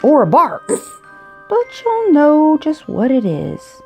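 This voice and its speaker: A woman reading a children's picture book aloud, expressively, with drawn-out emphasis on key words. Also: sits high, huge pitch swings, highs cut off. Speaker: storybook reader. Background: music.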